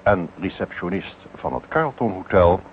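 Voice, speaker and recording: A man's voice: low voice, lively intonation, moderate pace. A man working as a radio announcer, formally reading closing credits. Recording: tinny old radio recording, faint noise.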